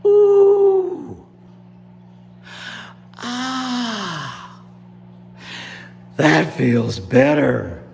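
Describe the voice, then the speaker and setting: Storyteller doing high, fussing alligator parents. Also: wildly swooping pitch, gravelly, big-room sound, background noise, heavily acted. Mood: relieved. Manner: exclamatory, drawn-out. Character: fussing, proud crowd of mother and father alligators.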